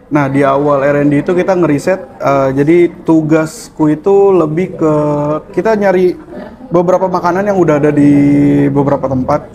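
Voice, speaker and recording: A man, a former hotel cook turned cafe barista, explaining at a relaxed pace with many hesitant fillers. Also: on the high side, normal speed. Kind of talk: casual interview answers.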